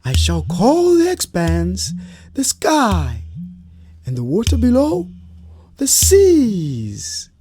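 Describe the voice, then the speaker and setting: A woman playing a cool, flowing water spirit in a children's folktale audio drama, with a measured theatrical delivery. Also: mid-range voice, wildly swooping pitch, mellow, slightly rough, heavily acted. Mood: confident.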